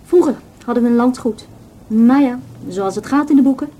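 An actress playing a frank, self-assured young student in a radio play, informal and unembarrassed. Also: female voice, on the high side, moderate pace, dark tone, natural voice. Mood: frank, matter-of-fact. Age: young adult.

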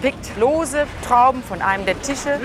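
A woman's voice: sits high, unhurried, crowd noise. A woman giving scripted observational narration in short, present-tense phrases. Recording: clear outdoor street and traffic noise.

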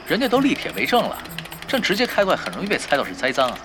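An actor playing a cool, scheming gamer giving tactical orders in a Chinese audio drama, casual and wry. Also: male voice, high-pitched, wildly swooping pitch, fast, background noise, exaggerated voice. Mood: calm, calculating.

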